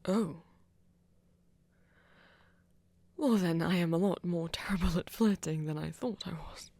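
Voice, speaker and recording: A woman playing a flirty, courtly vampire in an intimate audio roleplay, soft and fond with slightly formal phrasing. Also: on the low side, normal speed, no room sound. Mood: flirty, sheepish.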